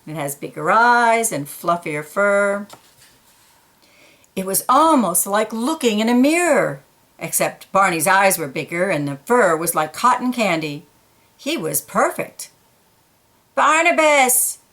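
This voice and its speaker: A woman reading a children's picture book aloud, pausing for quiet asides about the pictures. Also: mid-range voice, big pitch swings. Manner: slow, gentle, pointing out pictures in quiet asides.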